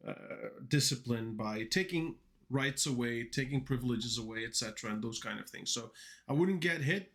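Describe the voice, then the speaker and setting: A man's voice: medium pitch, a bit bright. A young man who grew up speaking Dutch and Turkish, chatting casually on a livestream while he codes, rambling with lots of fillers and restarts. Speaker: coding livestreamer.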